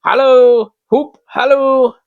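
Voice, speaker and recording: Storybook old miser performed by a man: pitched high, loud, boyishly gleeful, earnest and exclamatory. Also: steady tone, slow, gravelly, dry recording, muffled recording, exaggerated voice. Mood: excited glee. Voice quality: voice between laughing and crying. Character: excitable old miser reliving childhood with gleeful emotion.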